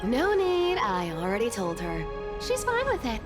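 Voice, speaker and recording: A woman's voice: a little high, wildly swooping pitch, a little slow. An actress playing a sly, carefree young woman thief in a video game, with a teasing, sing-song, breezy delivery. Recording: background music.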